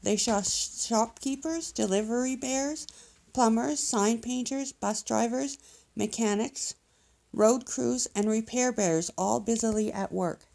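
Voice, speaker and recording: A woman narrating a children's storybook in an expressive read-aloud style. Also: fairly high pitch, measured pace, vocal fry. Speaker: storybook reader.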